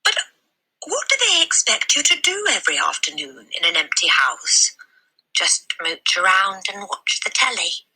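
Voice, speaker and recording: A woman voicing a kindly, concerned village librarian with a gentle, swooping delivery in a children's storybook reading. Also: mid-range voice, very animated, measured pace, slightly rough, voice pushed up, full character voice, low-quality recording. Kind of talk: children's storybook read-aloud.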